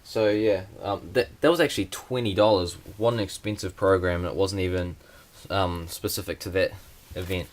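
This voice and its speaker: A man's voice: deep voice, steady tone, moderate pace, hardly any pauses, a little hiss or hum. A young man, talking hesitantly with many fillers in a casual vlog. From New Zealand. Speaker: vlogger.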